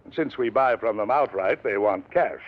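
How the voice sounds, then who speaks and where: A man's voice: lowish voice, moderate pace, close to an everyday voice. An actor playing a fussy, self-important middle-aged businessman in a 1950s radio drama, explaining himself hesitantly and evasively. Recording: dull vintage radio recording.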